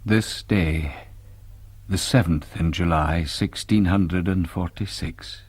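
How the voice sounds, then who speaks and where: Low-voiced, melancholy young Scottish minister. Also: male voice, a little mellow, husky, no room sound. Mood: grieving. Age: young adult. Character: young Presbyterian minister, grave, devout and melancholy. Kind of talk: radio drama acting, reading letters and journal.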